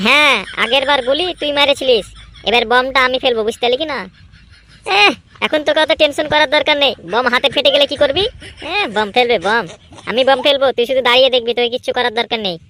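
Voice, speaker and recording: A woman's voice: sits high, quick pace, bright, forceful tone. A woman voicing a cocky, idle young village club lad in a comedy cartoon, bantering boastfully. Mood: boastful, squabbling. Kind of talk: exaggerated comedy cartoon dialogue.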